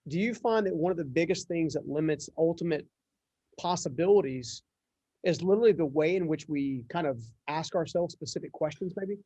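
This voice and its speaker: A man's voice: fairly high pitch, fairly quick, mellow, husky, no room sound. A man hosting a conversational podcast interview, talking in an earnest, wordy and reflective way. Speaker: interview host.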